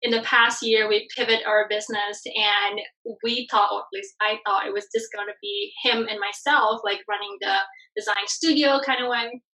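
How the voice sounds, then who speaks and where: Lady from bali in her 30s, bright high-ish voice, chatty and animated, laughs a lot. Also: moderate pace, poor audio. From Bali, Indonesia. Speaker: guest, branding expert. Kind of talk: casual podcast interview.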